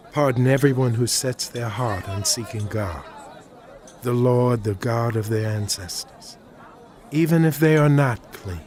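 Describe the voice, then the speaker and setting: A man's voice: medium pitch, a little mellow, lightly acted. An actor playing an earnest, devout Hebrew king, declaiming solemnly in a dramatized scripture reading. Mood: solemn prayer.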